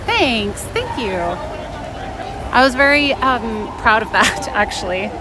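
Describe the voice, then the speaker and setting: A woman's voice: on the high side, sing-song, slow, crowd noise. A woman chatting casually on a livestream, playful and teasing, often breaking off and restarting her thoughts as she answers viewers. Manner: playful, teasing, self-interrupting. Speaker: live streamer reading and answering chat. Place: outdoors in a busy theme park. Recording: noisy outdoor park ambience. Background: outdoor park ambience.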